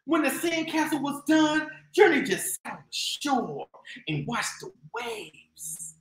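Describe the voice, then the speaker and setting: An American man tells a story to little children in a theatrical way. His voice is bright and swoops widely in pitch. He draws his words out slowly, in a roomy space. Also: big pitch swings, a little hiss or hum. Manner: theatrical, exclaiming, drawn-out delivery. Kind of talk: animated read-aloud for young children. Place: small indoor room. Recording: roomy echo.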